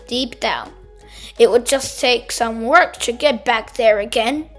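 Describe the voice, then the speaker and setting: A woman voicing a sour, dramatic grape who tells its own story in an expressive children's read-aloud manner. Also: extremely high pitch, heavily acted. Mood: wistful. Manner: exclamatory, theatrical, drawn-out delivery.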